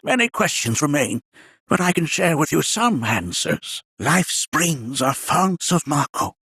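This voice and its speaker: An actor voicing a wise, kindly old scholar in a video game, with a raspy, aged voice and a ponderous, formal manner. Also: male voice, fairly high pitch, a bit bright, no echo, studio quality, exaggerated voice. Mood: thoughtful, then lecturing. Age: older. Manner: ponderous, formal, archaic storyteller phrasing. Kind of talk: video game character voice acting.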